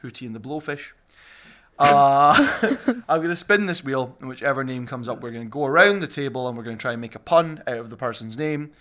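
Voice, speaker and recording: A man's voice: mid-range voice, fairly quick. A man hosting a podcast party game in a playful, casual, bantering way. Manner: playful, animated. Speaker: podcast host and game presenter.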